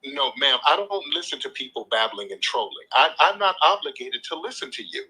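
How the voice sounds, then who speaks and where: A man's voice: medium pitch, sing-song, brisk, pushed and bright, slightly rough, poor audio. A man hosting a live call-in show, lecturing and arguing combatively, swearing freely and talking over the caller. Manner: combative, lecturing, repeats points. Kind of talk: heated live call-in argument.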